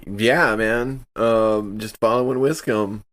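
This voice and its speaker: A man's voice: fairly low pitch, steady tone, slow pace, fairly bright tone. A man playing a tabletop roleplaying game, improvising his character's actions in casual, informal speech.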